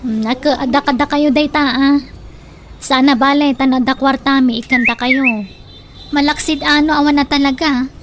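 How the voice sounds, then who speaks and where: A high female voice over clear background noise, an actress as a concerned grown daughter in a radio drama, speaking to her aging parents. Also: steady tone, normal speed, lightly acted. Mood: concerned, pleading. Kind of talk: Ilocano comedy radio drama acting. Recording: noisy background rumble.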